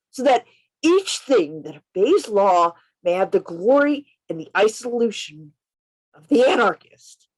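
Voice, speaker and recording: Femme jouant un narrateur de feuilleton radio, voix très expressive. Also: female voice, high voice, poor audio. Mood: impassioned. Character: earnest male poet-policeman narrating his strange adventure, impassioned. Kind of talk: dramatic narration in an amateur radio play reading. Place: remote reading over a video call.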